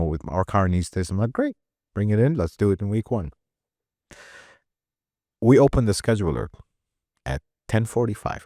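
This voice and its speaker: A middle-aged mechanic from Iraq, talking earnestly in a casual conversation, often repeating and restarting words. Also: male voice, low-pitched, a little mellow, dry recording, studio quality. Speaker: mechanic and shop owner.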